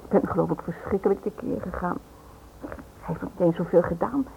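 An actress playing a shaken but strong-willed wife and mother in a radio drama, sharp-tongued and reproachful. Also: on the low side, fairly quick, vocal fry. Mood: shaken, weary. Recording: noisy, tinny old radio recording.

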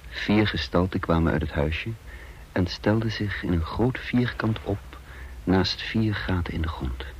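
An actor playing a tense commando leader who narrates a night raid and gives clipped, hushed orders in a radio play. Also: male voice, sits low, unhurried, highs cut off, a little hiss or hum. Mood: grave, suspenseful.

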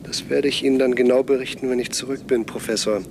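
An actor playing a troubled, resolute scout in a radio play, speaking in a brooding, guarded, insistent way. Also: male voice, medium pitch, fairly even intonation, fast talker, vocal fry, lightly acted. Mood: urgent, earnest. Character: troubled, resolute male scout reporting from forbidden island. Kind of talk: German radio play acting. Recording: 1970s radio drama, noisy outdoor ambience.

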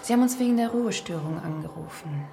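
A woman's voice: lowish voice, lively intonation, fast, gravelly, background noise. An actress playing a by-the-book female police officer in a radio drama, formal, patient and insistent. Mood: polite, official. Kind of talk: naturalistic radio drama dialogue.

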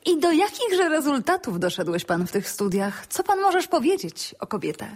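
A woman's voice: sits high, sing-song, moderate pace. An actress playing a vain, chatty, fashion-mad society lady in a period comedy radio play, gushing and coquettish with affected manners. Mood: arch, teasing.

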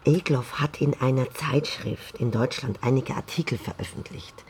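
Creaky-voiced, gruff tavern landlady. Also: extremely low pitch, moderate pace, a little mellow, slight background noise. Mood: guarded, weary. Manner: curt, dry, guarded. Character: gruff, weary woman running a tavern. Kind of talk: German radio drama acting.

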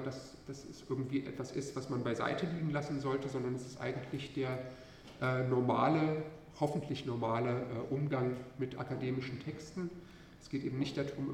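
A man's voice: mid-range voice, slight background noise. A male university lecturer with a cold gives an academic lecture, hesitant and full of filler sounds. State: has a cold. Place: lecture hall. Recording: echoey lecture hall from far off.

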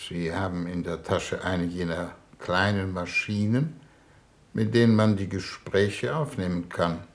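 An actor playing a grave, shrewd foreign minister in a 1950s radio drama, speaking in a formal, measured, probing way. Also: male voice, sits low. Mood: quietly knowing. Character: grave, shrewd male foreign minister leading a space mission.

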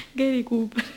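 High-pitched anguished woman prisoner. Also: dark tone, breathy edge, full character voice, low-quality recording. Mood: fragile, confiding. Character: young woman prisoner of a secret torture camp, anguished, defiant. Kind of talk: radio drama monologue, intimate theatrical acting.

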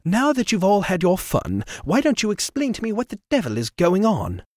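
A man's voice: sits high, wildly swooping pitch, moderate pace, a little mellow, dry recording, clean studio sound, pitched above the performer's own voice. A man voicing a haughty ship owner with a pompous, clipped, scolding manner.

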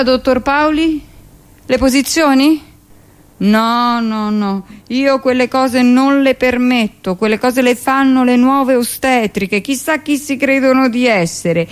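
A middle-aged woman speaks as a brusque hospital midwife in a radio drama. Her delivery is colloquial and confiding, with weary scorn. She sounds dismissive and keen to justify herself, at a medium pitch. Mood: defensive. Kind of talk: theatrical radio monologue.

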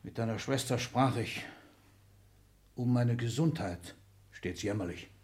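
An actor playing an ailing, scheming old industrialist patriarch in a 1960s German radio drama, commanding and emphatic. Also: male voice, mid-range voice, a little slow, darkish tone, lightly acted. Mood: imperious, lecturing. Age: older. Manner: commanding, emphatic, lecturing, swinging from muttering to outbursts.

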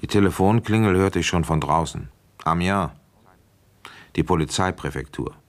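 A man playing a weary, suspicious police inspector with a probing manner in a German radio play. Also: a bass voice. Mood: weary, narrating. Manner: probing, insistent. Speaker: detective and first-person narrator.

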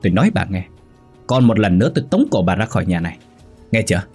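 Henpecked grown son for an audio drama, man acting: husky, short-tempered, snapping delivery. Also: lowish voice, lively intonation, moderate pace, warm tone, faint music behind. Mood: angry. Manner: snapping, growling.

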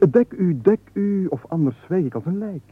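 An actor playing a penniless, wily squire and unstoppable babbler in a comic radio play, with flowery, wordy, mock-deferential speech. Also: male voice, high voice, expressive, moderate pace, husky, no echo. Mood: ingratiating, pleading. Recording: muffled narrow-band 1980s radio recording.

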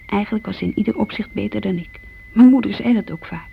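An actress playing a lonely, guilt-ridden younger daughter in a Dutch radio drama, confessing hesitantly in a creaky, sometimes breathy voice. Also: female voice, mid-range voice, fast. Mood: guilty, wistful. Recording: muffled, noisy old radio recording.

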